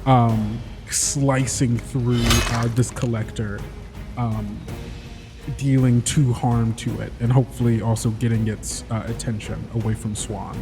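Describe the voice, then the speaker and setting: A man playing a tabletop game, describing his moves haltingly as he thinks them through aloud. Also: medium pitch, level tone. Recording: clear music behind the voice. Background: music.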